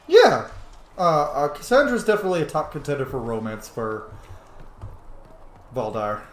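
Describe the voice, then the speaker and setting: An animated man doing casual let's play commentary in an echoey room. His fairly high voice swings up and down as he talks bluntly and swears freely. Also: moderate pace, slight background noise. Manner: blunt, profane.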